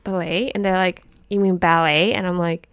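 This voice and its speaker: A young woman co-hosting a casual chat podcast, whose first language is Chinese, telling stories in a chatty, animated way. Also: lowish voice, moderate pace, smooth, dry recording, studio quality. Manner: chatty, animated storytelling with laughs and restarts. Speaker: podcast co-host.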